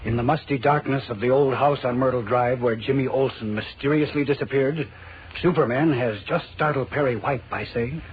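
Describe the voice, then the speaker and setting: Serial narrator: dramatic American man, medium pitch, suspenseful delivery, dull vintage recording with faint noise. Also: moderate pace. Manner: suspenseful, theatrical. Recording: muffled, faintly noisy old radio.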